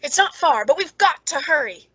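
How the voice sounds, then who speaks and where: Girl acting bitter clever woman in an old radio thriller, really high voice, brooding and kinda menacing. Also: animated, normal speed, pushed and bright. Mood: urgent, hushed alarm.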